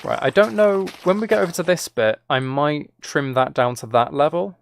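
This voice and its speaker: A man's voice: a little high, lively intonation, normal speed, creaky, a little hiss or hum. A man chatting casually as he streams a game, rambling along in a self-deprecating way. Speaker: live streamer. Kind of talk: casual gaming livestream chat, commentary.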